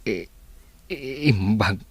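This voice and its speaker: A man playing an ailing, humble father who speaks haltingly with a stammer and a husky voice in an audio drama. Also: on the low side, lively intonation, no echo, exaggerated voice. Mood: labored, struggling. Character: ailing humble father, slowly recovering his speech.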